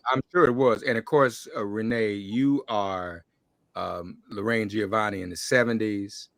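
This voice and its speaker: A man's voice: on the low side, measured pace, gravelly, dry recording. A man hosting a conversational panel interview, putting questions to his guests. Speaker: interviewer, host.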